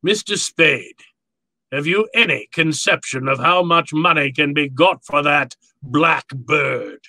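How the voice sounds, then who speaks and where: An actor playing a jovial, courtly, calculating fat crime boss in a radio drama, speaking floridly and with relish. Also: male voice, a little high, takes it slowly, bright, forceful tone, no echo, full character voice. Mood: probing. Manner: florid, pompous, relishing his words. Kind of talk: old-time radio drama acting.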